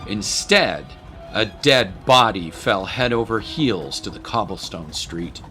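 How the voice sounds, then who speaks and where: A man's voice: fairly low pitch, expressive, slow pace, sharp tone, faint music behind. A man narrating a scripted dramatic story as a voice-over.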